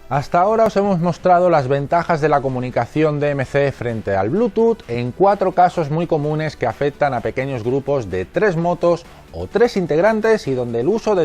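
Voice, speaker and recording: A man's voice: mid-range voice, darkish tone. A man with an accent from Spain reading scripted instructional narration in a methodical, didactic manner. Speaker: narrator of a product explainer.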